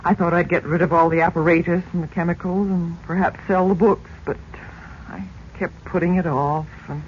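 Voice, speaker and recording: A woman's voice: lowish voice, steady tone, normal speed, slight background noise, natural voice. An actress playing an anxious middle-aged mother of two teenagers in 1940s radio drama style, on a dull recording. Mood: wistful, hesitant.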